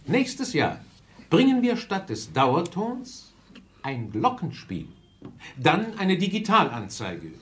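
An actor playing a zealous, self-important business executive, speaking emphatically in a radio drama. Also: male voice, fairly high pitch, slight background noise, full character voice. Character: zealous, restless business executive pushing gimmicky innovations.

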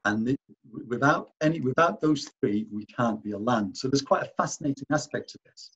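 A man's voice: mid-range voice, fairly quick, a bit bright, husky, lo-fi sound. A man giving an artist talk over slides, hesitant and full of restarts and fillers. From Wales.

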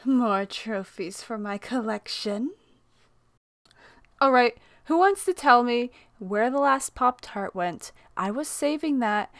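A woman's voice: a little high, animated, takes it slowly, a bit bright, no echo, clean studio sound. A woman voice actor performing dramatic character lines for a demo reel. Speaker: amateur voice actor.

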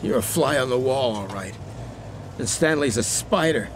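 An actor playing an exasperated, sharp-tongued man in a naturalistic radio drama, blunt and scolding. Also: medium pitch, expressive, moderate pace, natural voice. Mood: angry, scolding. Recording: faint ambient background noise.

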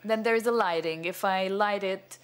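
Older man, halting lecture, fillers, stand mic on a cinema stage. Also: sits high, fairly bright tone, clean tone. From Venezuela. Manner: hesitant, many fillers and restarts, gestures broadly. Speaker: cinematographer giving a masterclass. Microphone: stand microphone.

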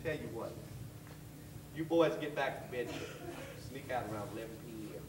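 A man's voice: mid-range voice, close to an everyday voice. A man playing a kindly, wary old janitor with a folksy Southern way of talking in a stage play. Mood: warm, conspiratorial. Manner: folksy, drawn-out storytelling. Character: kindly, wary old blues-loving orphanage janitor, fatherly mentor. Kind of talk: stage acting. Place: theatre stage, far camera. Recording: distant echoey theatre stage, noisy.

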